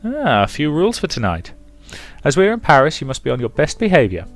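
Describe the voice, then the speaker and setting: A man's voice: fairly high pitch, wildly swooping pitch, fast talker, soft background music, voice pushed up. A man voicing a playful, bossy young noblewoman in a visual novel, teasing and mock-stern. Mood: playfully bossy.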